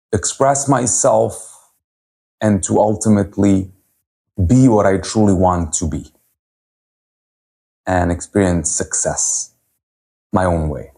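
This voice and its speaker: A man in his thirties from Serbia, telling his personal story as a holistic health coach at a virtual event in an earnest, unhurried way. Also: lowish voice, dark tone, slightly rough. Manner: earnest, unhurried, with frequent pauses and fillers.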